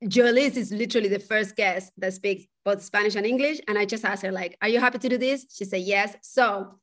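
A woman's voice: medium pitch, moderate pace. A woman hosting a podcast, introducing her guest with enthusiasm and switching between two languages. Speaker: podcast host. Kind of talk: interview hosting.

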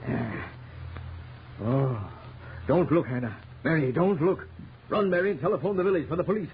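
An actor playing an emphatic, commanding village sheriff in a 1930s radio drama. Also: male voice, a little high, normal speed, close to an everyday voice. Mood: urgent, commanding. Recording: dull, noisy 1930s radio recording.

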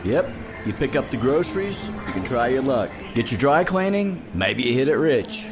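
A man's voice: medium pitch, very animated, normal speed, over music, natural voice. An actor playing an everyman corporate husband, bantering and defensive in a naturalistic audio drama. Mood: easygoing banter.

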